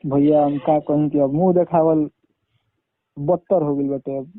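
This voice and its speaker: A man's voice: on the high side, steady tone, unhurried. A young man with a Bhojpuri accent being interviewed informally over the phone, answering in a defensive, pleading way. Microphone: phone line. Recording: roomy, tinny phone call.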